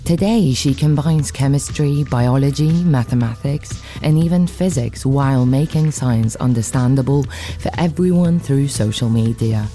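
A woman radio presenter narrates a scripted feature. Her voice is exceptionally low and very mellow and dark, over faint music. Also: normal speed.

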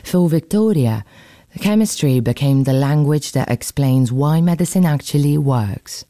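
A woman reporter reading a scripted radio feature in a measured, storytelling way. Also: low-pitched, animated, mellow, no room sound.

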